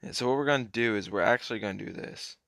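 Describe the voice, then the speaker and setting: A young man casually commenting on a mobile card game as he plays, hoarse and clearing his throat, his voice trailing off in a laid-back mumble. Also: on the low side, fast, a bit bright, no echo, clean studio sound.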